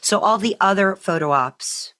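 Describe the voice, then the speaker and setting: A woman's voice: medium pitch, moderate pace, bright, forceful tone. A woman hosting a podcast, asking her guests questions in a casual, conversational way. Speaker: podcast host interviewing guests. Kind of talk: casual chat.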